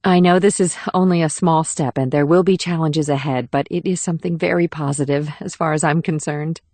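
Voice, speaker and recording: A woman's voice: low voice, steady tone, a little fast, a little mellow, no echo. A woman playing a composed, gracious First Lady with a polite, formal manner in audiobook dialogue.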